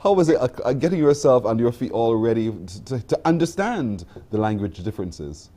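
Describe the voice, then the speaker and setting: A man hosts a morning television interview in an easy, chatty way. His voice swings up and down with lively intonation, and his long questions wander as he laughs along. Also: medium pitch, a little fast. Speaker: morning TV show host. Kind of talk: broadcast interview.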